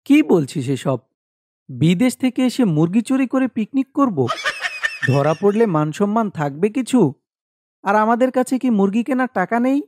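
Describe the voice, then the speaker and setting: A man voicing an emotional, complaining young friend in an animated horror story. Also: sits high, moderate pace, no echo. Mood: incredulous.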